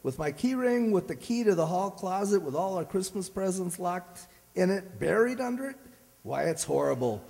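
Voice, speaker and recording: A stubborn, grumbling American husband for an old-time radio comedy. His voice sits a little high, he blusters in folksy slang, and the sound has a long hall reverb. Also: lively intonation, moderate pace, creaky, darkish tone. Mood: exasperated, blustering.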